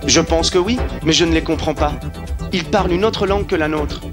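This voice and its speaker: A man's voice: fairly high pitch, brisk, over music, lightly acted. A man playing a blunt young jungle man raised among animals, in a French cartoon dub. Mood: curious, plain.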